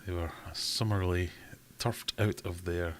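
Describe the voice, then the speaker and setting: A man's voice: low voice, steady tone, unhurried, a little hiss or hum. A man hosting a casual livestream from home, rambling and self-deprecating as he reads out chat comments. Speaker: livestream host.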